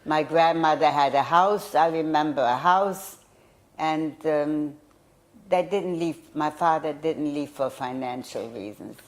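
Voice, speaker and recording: A woman's voice: low voice, measured pace. An older woman whose first language was German, recalling her childhood in an oral history interview, hesitant and searching for words. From Poland. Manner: hesitant, searching memory with restarts.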